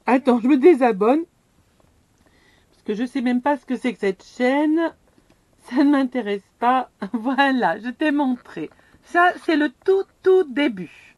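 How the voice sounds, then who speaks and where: A woman's voice: high voice, animated, measured pace, darkish tone, no room sound. A middle-aged woman vlogging from inside her camper van, casually walking viewers through a screen step by step with a chatty, self-deprecating manner.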